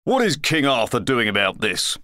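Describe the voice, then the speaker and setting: A man's voice: high voice, very animated, a little slow, fairly bright tone, no echo, studio quality, voice pushed up, full character voice. A man voicing a pompous town crier declaiming the news in a children's story. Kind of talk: children's storybook character reading.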